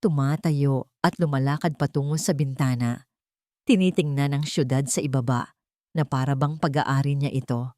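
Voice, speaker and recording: A woman's voice: very deep voice, fairly even intonation, moderate pace, a little mellow, no echo, studio quality. A woman narrator reading a scripted dramatic story.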